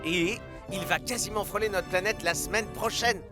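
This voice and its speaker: A man's voice: high-pitched, moderate pace, close to an everyday voice. A man voicing an eager young football player who loves space, in a cartoon dub. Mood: excited. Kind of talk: animated cartoon dub acting. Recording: music behind the voice.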